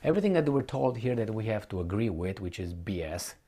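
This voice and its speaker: A man giving an unscripted, opinionated political commentary, speaking bluntly and colloquially, with halting repetition and rhetorical questions to the listener. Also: fairly low pitch, very animated, warm tone. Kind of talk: unscripted opinionated monologue to viewers.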